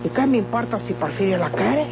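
An actress playing an agitated, secretive country daughter in an old radio melodrama, with rustic speech and a Mexican accent. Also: female voice, medium pitch, animated, a little fast, natural voice. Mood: defiant, agitated. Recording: tinny old radio recording, loud music.

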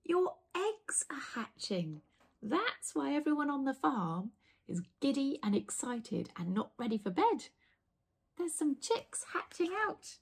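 A woman voicing a weary, patient sheepdog who gently coaxes farm animals to bed in a children's storybook. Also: high-pitched, big pitch swings, slow, pitched above the performer's own voice, heavily acted. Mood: delighted, coaxing. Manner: gentle, coaxing. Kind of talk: children's storybook read-aloud.

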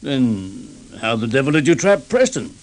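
An actor playing a brisk senior police officer questioning his sergeant in an old radio drama. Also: mid-range voice, big pitch swings, close to an everyday voice. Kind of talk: vintage radio drama acting.

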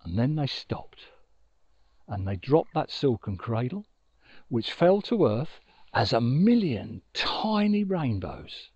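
A middle-aged man tells a story in a very lively, swooping voice, slow and full of dramatic pauses. He speaks outdoors into a lapel mic, and the sound is dry and slightly dull. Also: mid-range voice, husky, no room sound. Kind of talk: expressive children's storytelling. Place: outdoors on an open riverbank. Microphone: lapel mic with furry windshield.

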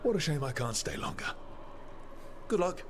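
An actor playing a calm, caring adventurer who leads his party in a video game. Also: a little high, normal speed, a little hiss or hum. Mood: wry. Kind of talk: video game character dialogue.

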